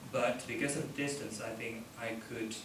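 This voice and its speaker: A middle-aged man with an Indonesian accent tells his own story at a panel discussion, pausing often mid-sentence. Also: medium pitch, fairly even intonation. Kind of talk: personal account at a panel discussion. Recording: roomy, noisy, distant microphone.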